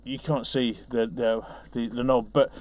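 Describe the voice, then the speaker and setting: A man tells casual anecdotes as an interviewed DJ. He is chatty and self-deprecating, with an ordinary mid-pitched voice. The sound is dry, with hardly any room on it. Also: steady tone, measured pace, darkish tone, dry recording. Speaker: DJ being interviewed.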